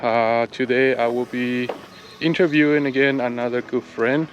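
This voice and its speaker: A man hosting an interview, relaxed, cutting in with short follow-up questions. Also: medium pitch, normal speed, highs cut off, a little hiss or hum. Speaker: interviewer and channel host.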